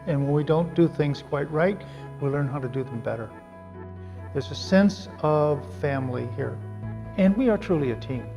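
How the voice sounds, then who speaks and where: A man's voice: a little high, normal speed, creaky, over music. An older man, an emergency physician, speaking earnestly and reflectively in an interview. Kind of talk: interview testimony.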